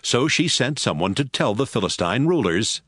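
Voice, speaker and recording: A man's voice: medium pitch, very animated, moderate pace, a bit bright, no echo, clean studio sound, faint music behind. A man giving scripted narration for a Bible audio drama.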